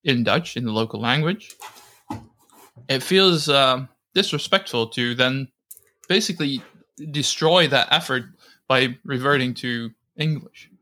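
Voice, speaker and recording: Bright-voiced man hosting a podcast. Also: mid-range voice, a little slow. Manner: enthusiastic, repeats words when starting. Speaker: podcast host. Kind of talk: casual chat.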